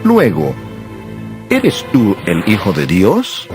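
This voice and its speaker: A stern, dramatically swooping council elder. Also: male voice, fairly low pitch, wildly swooping pitch, slow pace, heavily acted. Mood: demanding. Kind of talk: dramatized audio Bible acting. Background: music.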